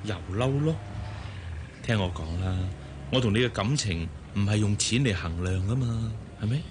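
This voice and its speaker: A male actor playing an affluent, patient fiancé in a Cantonese radio drama, reasoning gently and confidently in a Hong Kong accent. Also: lowish voice, expressive, moderate pace, noisy background, close to an everyday voice. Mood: soothing, reasoning. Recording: music behind the voice.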